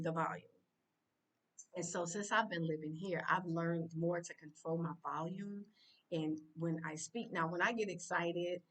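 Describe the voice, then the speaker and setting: An American woman chats to her viewers in a loose, rambling way, full of fillers and restarts. Her voice sits a little lower than usual and is caught a bit far from the mic. The talk stays informal and direct throughout. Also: fairly bright tone. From United States. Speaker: vlogger. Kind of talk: casual talk to camera.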